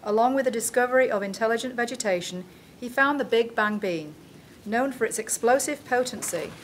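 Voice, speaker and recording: Lady doing british news anchor in her 50s, formal parody delivery, slightly high, bit of noise. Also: a little fast, close to an everyday voice. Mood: brightly formal. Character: British female newscaster in her early fifties.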